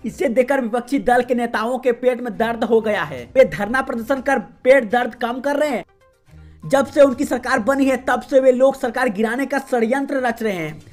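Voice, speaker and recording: A woman reading the day's regional news as a host, in a steady, formal newsreader delivery. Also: on the high side, moderate pace. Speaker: news reader. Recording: faint music behind voice.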